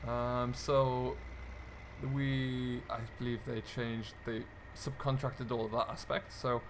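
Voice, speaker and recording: A British man with a mid-pitched voice hosts a gameplay video in a casual, chatty way. He thinks out loud and explains each job as he goes. Engine and vehicle noise runs clearly behind his voice. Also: little pitch movement, slow. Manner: chatty, musing aloud, explanatory. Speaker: video game streamer, host. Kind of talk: casual commentary over farming simulator role play. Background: game tractor and loader engine sounds.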